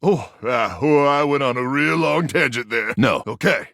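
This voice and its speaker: A man voicing a gruff, war-weary sci-fi soldier in a video game, melodramatic and intense, with deadpan absurd asides. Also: medium pitch, moderate pace, no room sound, clean studio sound, lightly acted. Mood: testy, rambling. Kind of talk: video game character acting.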